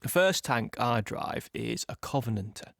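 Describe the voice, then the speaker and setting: An actor playing a young British tank gunner in an audio play monologue, plain-spoken and reflective, in short halting phrases. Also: male voice, mid-range voice, expressive, gravelly, a bit bright, no room sound, studio quality. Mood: proud, cheerful.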